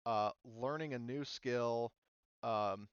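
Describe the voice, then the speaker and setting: Guy game master going over rules, smooth clean voice, dry slightly dull audio. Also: mid-range voice, takes it slowly, no echo. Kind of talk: casual explanation of tabletop game rules.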